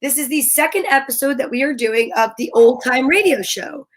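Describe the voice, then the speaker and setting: High-voiced woman hosting a live show. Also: expressive, normal speed. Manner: chatty, enthusiastic. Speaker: show host. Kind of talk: casual live-stream hosting.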